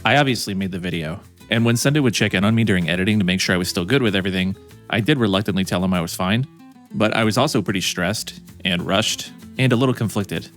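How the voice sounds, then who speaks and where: A man's voice: lowish voice, quick pace. A man who works as a video editor narrates his own account in the first person, reflective and conflicted. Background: soft guitar music.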